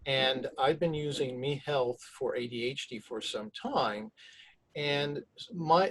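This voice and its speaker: A man's voice: mid-range voice, rough recording. Inquisitive male prescriber asking questions. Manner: inquisitive, repeats words while thinking. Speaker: pediatric prescriber interviewing a guest. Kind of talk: conversational interview.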